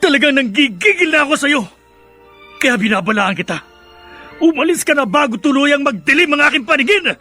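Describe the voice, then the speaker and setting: An actor playing an angry, accusing son in a Filipino radio drama, with a Filipino accent. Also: male voice, extremely high pitch, expressive, brisk, bright, forceful tone, soft background music, exaggerated voice. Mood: enraged, threatening. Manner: seething, accusing. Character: angry eldest son confronting his stepmother.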